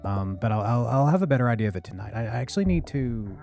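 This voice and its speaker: A man streaming a farming game, chatting casually into a close boom-arm mic, rambling and apologetic, with a deep voice he keeps low. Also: expressive, fast talker, gravelly, darkish tone, faint music behind. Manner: rambling, self-correcting, apologetic, talks low. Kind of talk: casual live-stream chat while gaming. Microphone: studio mic on a boom arm, close to the face.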